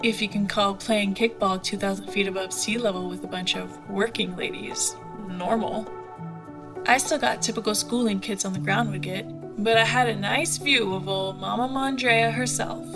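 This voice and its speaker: A woman's voice: mid-range voice, steady tone, moderate pace, natural voice. Woman playing a wry pilot, music behind. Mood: wry, nostalgic. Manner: frank, wry, colloquial. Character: candid, wry young female pilot with a rough past. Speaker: actress. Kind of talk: conversational audio drama acting.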